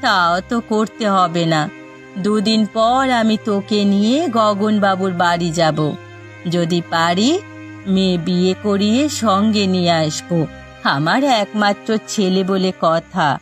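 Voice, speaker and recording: A woman's voice: mid-range voice, measured pace, soft background music, natural voice. Woman as a doting, chiding middle-aged village mother. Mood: concerned, indulgent. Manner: chiding, indulgent. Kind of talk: Bengali cartoon dialogue.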